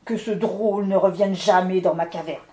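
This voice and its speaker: A storybook wind spirit lord, male and irascible, thunders his threats and scolds, put on by a woman reader. The voice is raised, loud and pitched high. It rings in a roomy, echoey space. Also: brisk, natural voice. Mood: furious. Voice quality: booming, thundering. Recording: roomy echo.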